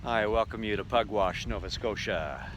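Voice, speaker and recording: A man's voice: fairly low pitch, normal speed, noisy background. A man hosting a casual travel vlog, narrating with wry, joking asides. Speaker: vlog host and travelling musician.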